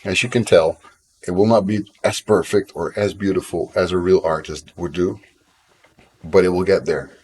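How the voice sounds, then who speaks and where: A man's voice: low-pitched, steady tone, moderate pace, a little mellow, breathy edge. A Dutch man in his thirties, a game developer chatting on a live stream from home, rambling and self-deprecating with lots of fillers and restarts, coughing now and then. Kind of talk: casual live-stream chat.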